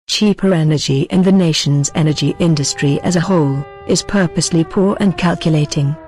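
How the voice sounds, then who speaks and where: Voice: mid-range voice, a little mellow. Formal narrator over music. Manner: formal, solemn declamation. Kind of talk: scripted political address narration. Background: background music.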